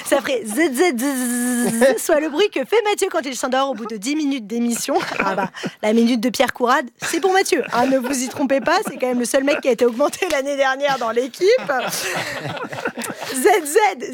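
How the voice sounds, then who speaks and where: Une femme originaire de Lorraine lit une chronique humoristique en studio de radio. Elle parle sur un ton moqueur, ironique et autodérisoire. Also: female voice, high voice. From Lorraine, France. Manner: teasing, ironic, self-mocking. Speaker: comedy columnist. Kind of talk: scripted comic chronicle.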